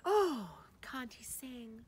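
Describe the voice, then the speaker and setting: A woman's voice: high-pitched, animated, drawn-out words, full character voice. A woman voicing a gushing, condescending society lady at a party, breathless and fluttery, with giggles and gasps, in a dramatic short-story reading.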